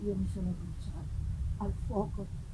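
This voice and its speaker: A woman playing a dying male scientist in a gothic novel reading, speaking with halting, solemn regret. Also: medium pitch, steady tone, noisy background, lightly acted. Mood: tender regret. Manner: halting, solemn deathbed speech. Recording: loud live guitar music behind.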